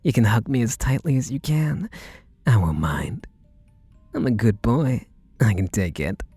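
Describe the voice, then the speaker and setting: A man's voice: medium pitch, very animated, unhurried, dark tone, breathy edge, no echo, faint music behind. A man playing an eager, submissive, lovestruck butler who gushes earnestly and pleadingly in an intimate ASMR roleplay. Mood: needy, adoring.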